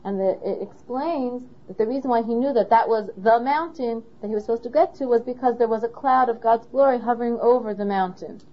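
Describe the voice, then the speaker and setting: A woman's voice: a little high, a little fast, few pauses. A woman teaching a Torah lesson, asking questions as she explains. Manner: asks the listener questions, patient. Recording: dull lo-fi recording.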